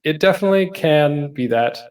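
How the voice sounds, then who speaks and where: Male panel host, very animated pitch swings, informal presenter style with self-deprecating asides. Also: fairly quick, distant mic.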